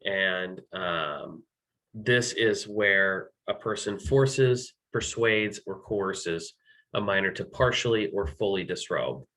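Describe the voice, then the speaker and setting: A man with an American accent presenting a legal training, slightly hoarse and losing his voice, talking haltingly with many ums and self-corrections. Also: low-pitched, animated, pushed and bright, poor audio. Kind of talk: slide-based legal training.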